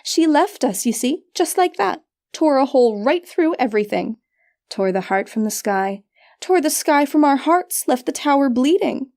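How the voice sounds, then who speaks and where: Malicious, girlish witch performed by a female narrator for fantasy audio story; high, lilting, mocking, hungry. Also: expressive, moderate pace, a little mellow. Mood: spiteful. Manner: taunting, sing-song, theatrical.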